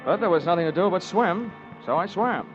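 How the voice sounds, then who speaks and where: An American actor playing a tough, wry insurance investigator who narrates his case in clipped, deadpan 1940s radio detective style. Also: sits high, a little fast. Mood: tense, wry. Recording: dull vintage radio recording with music.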